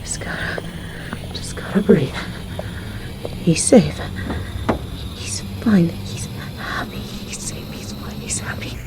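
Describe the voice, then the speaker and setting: A woman's voice: on the low side, expressive, slow pace, long pauses, in a whisper. A woman playing a flirty, possessive and unhinged female mafia boss in a roleplay audio drama, theatrical in her delivery. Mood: anxious whispering. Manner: theatrical, swinging from sweet cooing to manic shouting and muttering.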